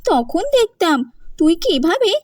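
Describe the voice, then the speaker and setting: A woman's voice: extremely high pitch, big pitch swings, unhurried, no room sound, clean studio sound, voice pushed up, heavily acted. A woman voicing a defiant, quarrelsome, boastful younger sister in a cartoon. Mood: defensive, haughty. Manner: sharp, argumentative. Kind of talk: cartoon voice acting.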